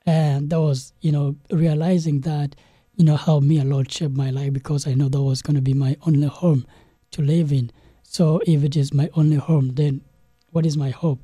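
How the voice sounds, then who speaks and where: A young man from South Sudan, a game developer guest in an interview, telling his story in a halting way with many restarts and fillers. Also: fairly high pitch, level tone, dark tone, breathy edge, no room sound. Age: young adult.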